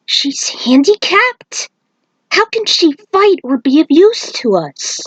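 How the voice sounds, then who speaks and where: Woman as a skeptical warrior monk, pitched up. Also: sing-song, slow, dry recording, dull audio, heavily acted. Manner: blunt, questioning, incredulous.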